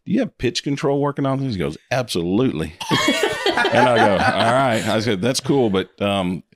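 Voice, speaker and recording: A middle-aged man, the host's father, telling a story in a slow, deliberate way. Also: medium pitch, lively intonation, crowd noise. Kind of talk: casual chat, storytelling.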